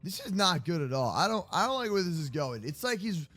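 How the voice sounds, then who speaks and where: Very animated man with a bright voice. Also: sits high, a little fast, faint music behind. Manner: animated, slangy, reacting aloud. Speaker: gaming video host. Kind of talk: improvised Minecraft roleplay commentary.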